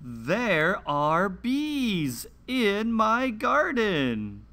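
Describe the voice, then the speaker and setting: Bright, animated man teaching reading slowly. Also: sits high. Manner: deliberate, carefully enunciated, sing-song emphasis. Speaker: teacher hosting a children's read-along show. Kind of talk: slow, scripted reading lesson for young children.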